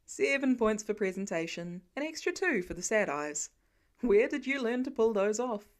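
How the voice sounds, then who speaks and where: A woman's voice: on the high side, animated, normal speed, lightly acted. A woman voicing a playful, dryly teasing adult man, a grumbling dad, in a fiction audiobook. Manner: playful, dry, wheedling.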